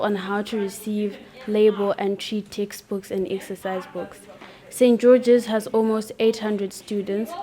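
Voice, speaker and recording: A woman's voice: mid-range voice, dark tone. A woman narrating a school newsreel from a script.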